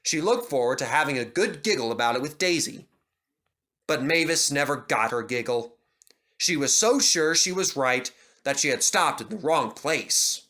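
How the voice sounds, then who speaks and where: Male storyteller, bright, animated, reads a children's railway story. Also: medium pitch, moderate pace. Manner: lively, expressive. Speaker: narrator. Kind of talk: storybook reading.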